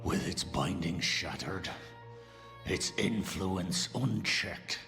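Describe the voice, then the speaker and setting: An actor playing a blunt, gruff dwarf explorer in a fantasy game cinematic, dramatic and foreboding over music. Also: male voice, sits low, slow, bright, forceful tone, full character voice. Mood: ominous warning.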